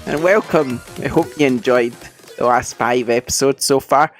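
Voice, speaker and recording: A man hosting a podcast with a cold that makes his voice croaky, chatting casually and laughing at his own slips. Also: mid-range voice, moderate pace, soft background music. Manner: chatty, self-mocking, laughs often. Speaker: podcast host. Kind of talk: casual chat.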